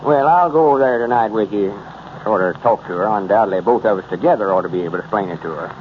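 A man's voice: lowish voice, fast talker, close to an everyday voice. An actor playing a self-important, easily indignant old country man with a rural Southern accent, folksy and pompous, in a 1930s radio comedy. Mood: put out. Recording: muffled, noisy 1930s radio recording.

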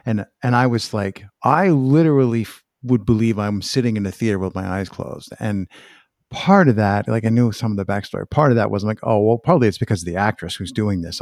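A man's voice: fairly low pitch, no room sound, studio quality. A man interviewing in a thoughtful conversational style, feeling his way through questions with exploratory phrasing.

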